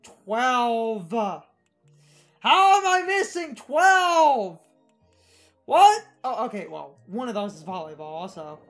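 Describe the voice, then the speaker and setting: A woman gives blunt, casual game commentary in a high voice. Her pitch moves around in a lively way and her pace is on the slow side, with faint music underneath. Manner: blunt, opinionated, swears. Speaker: gaming commentator. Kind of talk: casual unscripted gaming chat.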